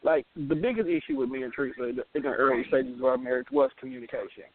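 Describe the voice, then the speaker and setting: A man's voice: medium pitch, big pitch swings, fast talker, a crowd in the background. A man chatting casually as a talk show co-host, slangy and emphatic, repeating words to make his point. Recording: tinny phone line with hiss and noise.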